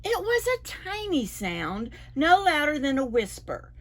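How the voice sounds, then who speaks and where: A woman reading picture books aloud to preschoolers, with an animated manner and playful questions to the children. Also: sits high, measured pace. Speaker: librarian storyteller for preschoolers. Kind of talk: expressive picture-book read-aloud.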